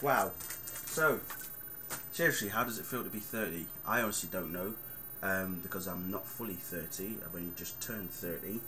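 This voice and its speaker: A man in his thirties rambles through a casual vlog, with many ums and asides. His voice sits very low. Steady background noise runs under the recording. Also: normal speed. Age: 30s. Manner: chatty and rambling, with many fillers. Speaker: vlogger.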